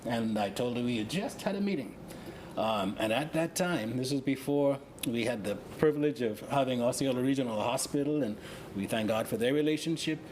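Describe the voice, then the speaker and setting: A man with a Bahamian accent speaks as a host and coordinator, in a mid-pitched voice. He talks off the cuff in a halting, deliberate manner, with noticeable room noise around him. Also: darkish tone. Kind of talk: unscripted interview and announcements. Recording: clearly noisy room ambience.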